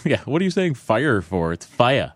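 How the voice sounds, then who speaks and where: A man's voice: mid-range voice, sing-song, moderate pace, dry recording. A man from Boston chatting casually, explaining things with wry asides. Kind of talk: casual chat.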